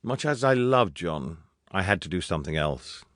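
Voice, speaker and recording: A man's voice: sits low, very animated, normal speed, a bit bright, dry recording, clean studio sound. A man narrating a memoir audiobook in a scripted read, with wry, dryly humorous storytelling.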